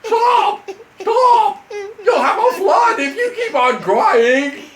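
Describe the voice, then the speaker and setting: A man's voice: very high voice, lively intonation, takes it slowly, a crowd in the background, voice pushed up, exaggerated voice. A man voicing a precocious, self-important frog in a declamatory way for a children's bedtime story. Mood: alarmed. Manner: punctuates speech with ribbits, declamatory. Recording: echoey room, off the mic.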